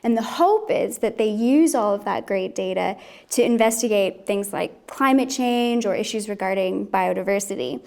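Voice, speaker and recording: A woman's voice: mid-range voice, normal speed, vocal fry, a little mellow. A woman in her thirties, a scientist, talking casually as an interview guest.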